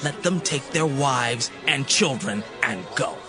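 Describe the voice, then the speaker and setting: A man's voice: medium pitch, lively intonation, slow. A man playing a greedy, good-for-nothing soldier in a dramatized scripture production, grumbling with scorn. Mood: scornful, resentful. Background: music.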